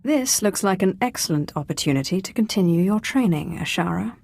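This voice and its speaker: An actress playing a commanding, curt female dark sorcerer lord in a video game. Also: lowish voice, expressive, brisk, gravelly, husky, exaggerated voice. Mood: cool, commanding. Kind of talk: game voice acting.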